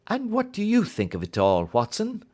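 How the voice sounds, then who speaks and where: A man's voice: high-pitched, huge pitch swings, moderate pace, a little mellow, pitched above the performer's own voice, exaggerated voice. A man voicing a cool, keen detective in an audiobook, reasoning precisely and staying blandly unflappable. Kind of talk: audiobook character acting.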